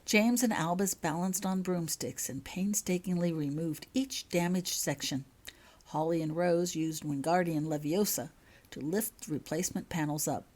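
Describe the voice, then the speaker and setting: A woman's voice: on the low side, normal speed, darkish tone. A woman reading a story aloud as scripted audiobook narration, as the author of the fiction.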